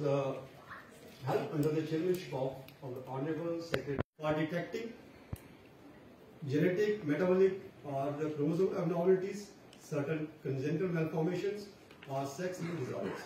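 A man's voice: a little high, normal speed, warm tone. Man presenting in a very echoey hall. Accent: Indian English. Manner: explanatory, listing points. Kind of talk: formal presentation. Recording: very echoey hall from far off, hiss.

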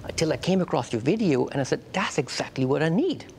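A man's voice: on the high side, animated, fast, gravelly, a little mellow, a little hiss or hum. A man in his fifties from Pakistan with a Pakistani accent, speaking conversationally as a surgeon guest in a TV studio interview. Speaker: facial plastic surgeon, guest. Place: TV studio talk-show set.

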